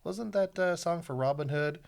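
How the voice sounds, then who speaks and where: A man's voice: a little high, normal speed, vocal fry, clean tone. A man hosting a casual podcast, chatty and meandering, often laughing as he talks. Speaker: podcast host. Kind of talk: casual chat. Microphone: podcast microphone.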